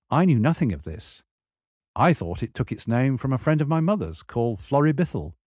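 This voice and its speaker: Male memoir narrator, wry and measured, with animated intonation and a dry studio-like sound. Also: mid-range voice, no room sound. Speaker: memoir reader.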